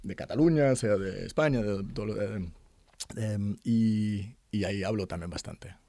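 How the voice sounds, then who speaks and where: Halting middle-aged man, mellow voice. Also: fairly low pitch, gravelly, dry recording. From Germany. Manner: halting, many fillers and restarts. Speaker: interview guest, artist's son. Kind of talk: conversational interview.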